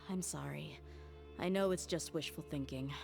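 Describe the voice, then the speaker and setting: A woman's voice: sits low, a little slow, gravelly. An actress playing a tough, blunt female mercenary leader in a game, firm and commanding. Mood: somber. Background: game music.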